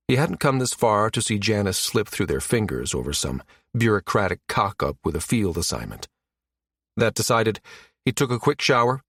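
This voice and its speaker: Brief: male narrator for sci-fi audiobook, lower register, very dry studio-tight sound. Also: dry recording. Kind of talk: scripted narration of a science fiction story.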